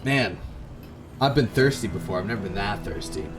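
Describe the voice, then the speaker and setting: A man's voice: fairly low pitch, normal speed, music in the background. A man from Canada reading visual novel narration aloud expressively, with casual gamer reactions. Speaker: gaming video host.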